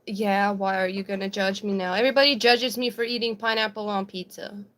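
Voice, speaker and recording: A woman's voice: mid-range voice, fairly even intonation, a little fast, fairly bright tone. A young South African woman whose first language is Afrikaans, with an American-sounding accent, chatting casually on a livestream and answering viewer comments, giggly, with lots of ums and likes. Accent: American-sounding English.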